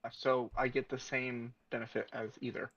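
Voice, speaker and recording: A man's voice: medium pitch, flat delivery, normal speed, vocal fry, fairly bright tone, no echo, dull audio. A man chatting casually and jokingly as a player in a tabletop roleplaying game.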